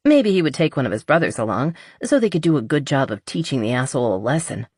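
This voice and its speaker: A woman's voice: sits low, big pitch swings, fast, darkish tone, husky, no echo, studio quality. A woman reading a romance novel aloud as a scripted audiobook narration.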